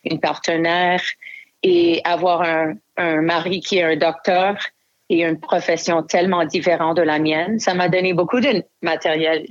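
A woman comedian from Ontario, an English Canadian speaker talking frankly in French and dropping English fillers, in an interview. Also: low voice. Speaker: stand-up comedian. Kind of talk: interview answers. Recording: dull lo-fi recording.

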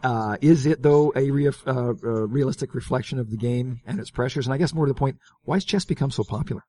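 A man's voice: medium pitch, fast talker, warm tone, no room sound. A man with a Canadian accent hosting a radio interview in a casual, chatty conversational way.

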